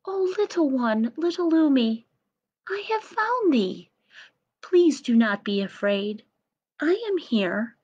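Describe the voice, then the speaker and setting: A gentle little storybook shepherdess, played by a woman, calls in a high, lilting singsong. The words are drawn out, tender and a little anxious. The recording is slightly dull. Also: sing-song, takes it slowly, husky, heavily acted. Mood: tender, reassuring.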